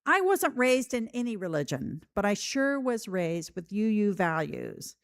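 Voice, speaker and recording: Slow, expressive woman preaching. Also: mid-range voice, no echo, studio quality. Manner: measured, posing rhetorical questions. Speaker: preacher giving a sermon. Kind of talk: reflective sermon.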